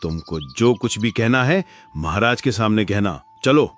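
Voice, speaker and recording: A man's voice: on the low side, lively intonation, a little slow. A man voicing a loyal elephant chief minister who serves the king, in a Hindi cartoon. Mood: dutiful. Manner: dutiful, deferential to the king.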